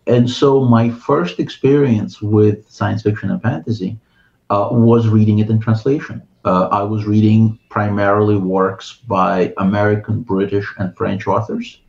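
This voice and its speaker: A middle-aged man from Ukraine with a Russian accent, a guest on a video call, talking thoughtfully with many filler pauses. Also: on the low side, fairly even intonation, vocal fry, a little mellow, dull audio. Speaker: guest, writer and translator. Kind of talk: casual interview talk. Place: home room with bookshelves.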